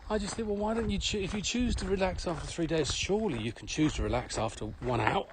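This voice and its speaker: A man's voice: high voice, fast. A middle-aged man co-hosting a casual podcast, opinionated and fond of rhetorical questions. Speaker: podcast co-host and management adviser. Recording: clearly noisy background with clicks.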